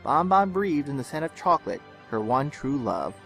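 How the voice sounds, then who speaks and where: Male narrator-host for fan fiction audio, animated delivery, medium register, music behind. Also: medium pitch, normal speed, creaky. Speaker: story narrator and channel host. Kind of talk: expressive storytelling narration.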